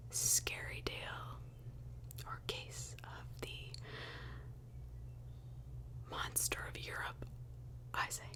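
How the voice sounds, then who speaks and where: Woman doing whispered asmr true crime, super slow n breathy, chatty wording. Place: small closet in a house.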